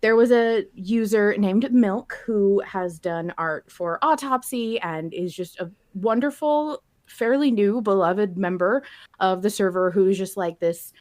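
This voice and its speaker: A woman's voice: medium pitch, unhurried, darkish tone. A woman hosting a casual fan podcast, talking in playful bro slang with some swearing. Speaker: podcast host.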